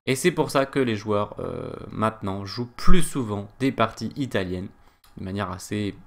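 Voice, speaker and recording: A man's voice: fairly low pitch, animated, normal speed, gravelly. A man from France teaching a chess game analysis, talking hesitantly with many fillers and chatty digressions. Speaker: chess coach.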